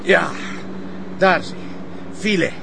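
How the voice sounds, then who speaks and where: A man's voice: sits high, steady tone, takes it slowly, vocal fry, heavily acted. A man acting as a cautious, fretful Flemish policeman who repeats himself, in a naturalistic crime drama. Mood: concerned. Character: anxious, cautious male police sidekick. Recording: noisy location sound with traffic.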